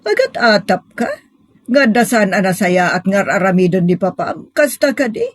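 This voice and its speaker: A woman's voice: mid-range voice, big pitch swings, normal speed, no echo, clean studio sound. Woman as middle-aged mother, questioning, reassuring, family audio drama. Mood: questioning, defensive. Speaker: drama actor. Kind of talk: Ilocano audio drama acting.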